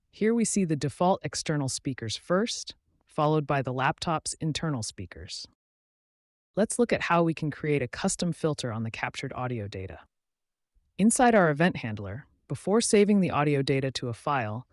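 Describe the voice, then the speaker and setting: Higher-pitched man narrating a scripted tutorial. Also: no echo, studio quality. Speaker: tutorial host.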